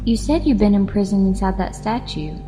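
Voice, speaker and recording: A woman playing a questioning, soft-hearted young time-travel companion in an audio drama, speaking earnestly. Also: medium pitch, animated, brisk, over music, lightly acted, rough recording. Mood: compassionate.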